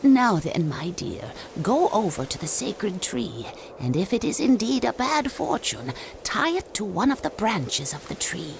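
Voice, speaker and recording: A gravelly old fortune-teller. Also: female voice, mid-range voice, sing-song, a little hiss or hum, low-quality recording. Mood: solemn. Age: older. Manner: slow, measured, mysterious. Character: old woman fortune-teller, cryptic and solemn. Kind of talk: video game cutscene dubbing.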